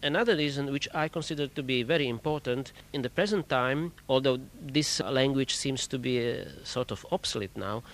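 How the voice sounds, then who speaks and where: Man, choir director, measured formal speech. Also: medium pitch, fairly bright tone. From Czech Republic. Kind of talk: interview answers.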